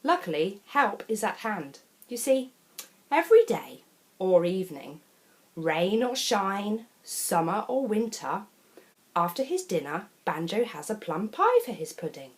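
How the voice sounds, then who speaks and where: Woman, children's storyteller, very lively swooping intonation, theatrical, chatty asides. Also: mid-range voice, measured pace. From England. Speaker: storyteller reading a children's picture book. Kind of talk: expressive children's storybook narration.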